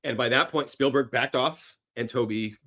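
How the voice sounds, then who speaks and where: A man's voice: medium pitch, moderate pace, pushed and bright. A man telling film-history anecdotes casually and emphatically as a podcast guest, often slipping into quoted dialogue.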